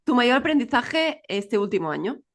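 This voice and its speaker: A woman hosts a casual interview. Her voice sits a little high, and she puts her questions in an informal, conversational way. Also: sing-song, moderate pace. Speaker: podcast host, interviewer.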